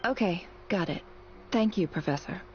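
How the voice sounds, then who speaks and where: An actress playing a guarded, wry young female student in a video game, with a measured, dry way of talking. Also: fairly low pitch, animated, highs cut off, a little hiss or hum, natural voice. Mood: guarded, polite.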